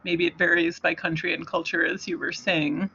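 A moderator with a gravelly, bright voice reads audience questions and adds short resource notes. The sound comes through a muffled video call. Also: medium pitch, flat delivery, moderate pace, slight background noise. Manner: brief, reads questions aloud. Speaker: webinar moderator.

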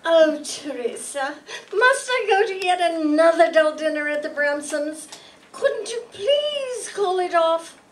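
Woman playing a high, petulant young society wife. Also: animated, slow, heavily acted. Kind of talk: amateur staged radio play. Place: meeting room stage before an audience. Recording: distant mic, faint room noise.

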